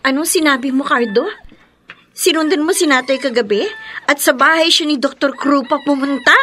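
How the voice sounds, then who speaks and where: Radio drama wife, anxious and emphatic, very high bright voice with lively swings. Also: female voice, moderate pace, faint music behind. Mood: incredulous, puzzled. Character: anxious, questioning village wife.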